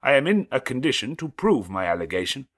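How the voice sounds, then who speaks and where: A man voicing a resolute, formal gentleman in an audiobook, speaking distinctly, calmly and steadily as an accuser. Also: medium pitch, voice pushed up. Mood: firm, calm. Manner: distinct, calm, steady, deliberate. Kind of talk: audiobook character acting.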